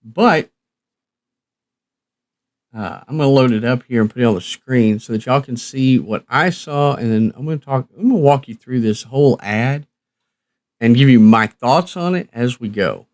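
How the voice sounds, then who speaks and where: A man's voice: medium pitch, expressive, normal speed, darkish tone, no room sound. A man hosting a casual live stream, talking in a folksy, rambling and hesitant way with trailing phrases. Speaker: live stream host, radio reviewer.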